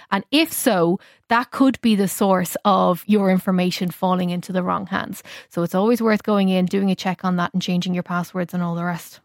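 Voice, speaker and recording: A woman's voice: medium pitch, no echo, clean studio sound. A woman with an Irish accent speaking as a technology correspondent, explaining things in an informal, conversational radio style.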